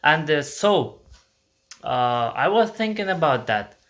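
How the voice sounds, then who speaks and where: A young man from Kazakhstan with a Kazakh accent, talking to camera in a casual monologue, halting and restarting often. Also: fairly high pitch, big pitch swings, a bit bright. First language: Kazakh and Russian. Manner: halting, many restarts and fillers. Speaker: vlogger.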